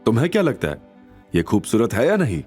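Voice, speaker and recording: A man's voice: medium pitch, huge pitch swings, a little fast, a little mellow, soft background music. A man playing a caring, protective young man, earnest and coaxing, in an audio drama. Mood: teasing.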